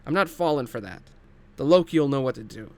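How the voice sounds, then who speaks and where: A man reads as a stubborn male starship officer in a sci-fi audio drama. He sounds blunt and dismissive, with an everyday mid-pitched voice. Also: expressive, fairly quick, lightly acted.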